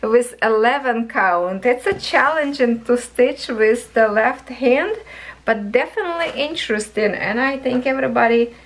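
A woman hosting a craft vlog, chatting slowly through a cross stitch kit review, voice going hoarse, recorded at a table indoors. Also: on the high side, rough recording. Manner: chatty, thinking aloud, soft and slow. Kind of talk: casual product review vlog. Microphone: camera over a table, hands only in frame.

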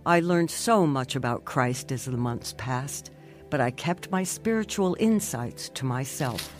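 A middle-aged wife in a radio drama, earnest and emotional. She speaks slowly, with music underneath. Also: very deep voice, expressive. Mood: earnest, reflective. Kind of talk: radio drama narration and dialogue.

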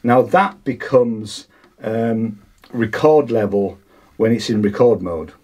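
Husky-voiced older man, informal demonstrator. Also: lowish voice, slow pace, darkish tone. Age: 60s. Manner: hesitant, thinking aloud, explanatory. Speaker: vlogger demonstrating vintage audio gear. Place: small home studio with foam panels, indoors.

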